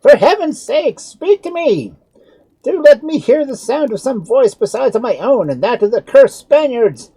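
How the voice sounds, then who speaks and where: A man's voice: big pitch swings, unhurried, dark tone, husky, no echo, heavily acted, poor audio. A man voicing a vain, panicked learned doctor who wails and pleads melodramatically in his prison cell. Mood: pleading. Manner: shrill, wailing, melodramatic.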